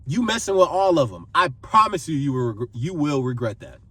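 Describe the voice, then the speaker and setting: Husky young man giving earnest advice. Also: a little high, sing-song, brisk, sharp tone, no echo, far from the mic. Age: 20s. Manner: pleading and repetitive, repeats phrases for emphasis. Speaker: vlogger giving life advice. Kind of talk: earnest direct-to-camera advice.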